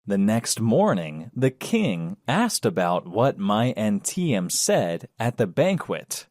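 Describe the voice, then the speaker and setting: Slow, very expressive male storyteller. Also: fairly low pitch, no room sound. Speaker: storybook narrator. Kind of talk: scripted children's story narration.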